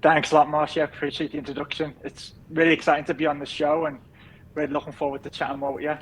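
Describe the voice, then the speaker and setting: A man in his thirties from England with a softened Liverpool accent, chatting easily as a podcast guest with lots of fillers. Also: fairly high pitch, level tone, quick pace, slightly rough, poor audio. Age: 30s. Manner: easygoing, rambling with many fillers. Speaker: podcast guest, business founder. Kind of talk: casual interview chat.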